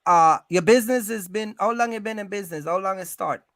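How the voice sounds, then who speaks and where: A man hosting an animated advisory conversation about business, speaking emphatically, repeating himself and often checking in with questions. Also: sits high, a little fast, no room sound, clean studio sound. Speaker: host giving business advice to truck owners.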